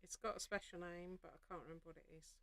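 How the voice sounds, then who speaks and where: A woman's voice: lowish voice, steady tone, rapid-fire, gravelly, fairly bright tone, no room sound. A woman hosting a live craft stitch-along, practical and reassuring as she thinks aloud while she works. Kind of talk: casual live craft demonstration.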